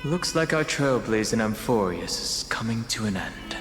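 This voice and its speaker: A man playing a reserved, thoughtful young travel companion in a video game, measured, dry and earnest. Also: on the low side, animated, echoey room, long reverb tail, music in the background, lightly acted. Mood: reflective. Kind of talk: English video game voice acting.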